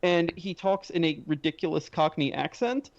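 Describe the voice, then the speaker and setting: A mid-pitched voice chats eagerly on a podcast, tripping over a few words as it goes. The mic sounds slightly muffled and dull. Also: expressive, moderate pace. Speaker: podcast panelist. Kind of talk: casual chat.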